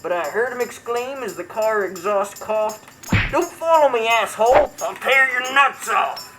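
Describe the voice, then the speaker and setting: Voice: medium pitch, measured pace, natural voice. A performer voicing a brash, crude martial arts buddy character, reading comic verse in a mock-dramatic, theatrical way. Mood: furious. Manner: mock-dramatic storytelling, irreverent. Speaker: comedy show host character. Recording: music behind the voice.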